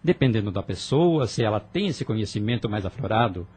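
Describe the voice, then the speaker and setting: Give me a man playing an earnest young man in an audio drama, husky and heartfelt. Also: on the low side, animated, a little fast, a little mellow. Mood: explaining. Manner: emotional, pleading, explanatory. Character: young man Vinícius, earnest persecuted son.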